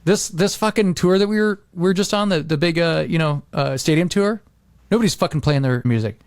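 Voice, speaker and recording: A middle-aged American man chatting casually as a podcast host, rambling and repeating himself with animated emphasis. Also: a little high, brisk, dry recording, studio quality.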